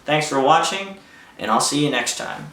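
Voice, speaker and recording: A young man telling a personal story in a casual vlog, with an excitable manner and acted-out quotes. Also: a little high, moderate pace. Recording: roomy echo, distant microphone.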